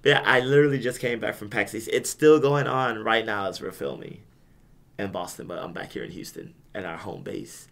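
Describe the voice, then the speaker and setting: Hoarse, gravelly young male podcast host. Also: on the low side, animated, brisk, a bit bright. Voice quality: raspy. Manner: laid-back, self-deprecating banter. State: hoarse, lost voice. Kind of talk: casual podcast chat.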